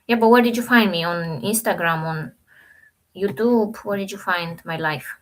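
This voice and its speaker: A woman's voice: mid-range voice, moderate pace, a little hiss or hum. A woman from Romania hosting a trading live stream, chatting casually with viewers and thinking aloud over the charts.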